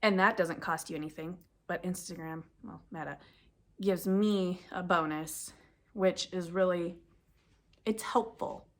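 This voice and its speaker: A woman's voice: lowish voice, fairly even intonation, measured pace. A woman vlogging casually about knitting, sniffly from the cold, chatting in a rambling way that corrects itself as she goes. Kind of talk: casual chat to camera.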